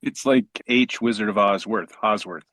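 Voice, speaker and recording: Male voice actor, low pitch, dry close recording, casual chat. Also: moderate pace, fairly bright tone, no room sound.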